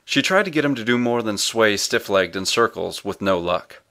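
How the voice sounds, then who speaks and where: Male narrator, fairly low pitch, scripted fiction reading, audiobook storyteller. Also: lively intonation, normal speed, sharp tone.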